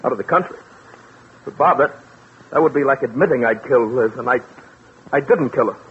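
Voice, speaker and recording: A man's voice: mid-range voice, fairly quick, lightly acted. Guy doing a scared confused fugitive, stammering, pleading, old tinny radio with some hiss. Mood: panicky, confused. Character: frightened, confused, trusting male murder suspect. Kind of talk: 1940s radio drama acting.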